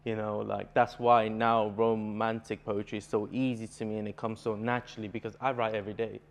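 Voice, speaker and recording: A man's voice: lowish voice, little pitch movement, a little fast, gravelly, slight background noise. A young man from Bulgaria, a native Bulgarian speaker, talking earnestly as a poet guest in a casual interview.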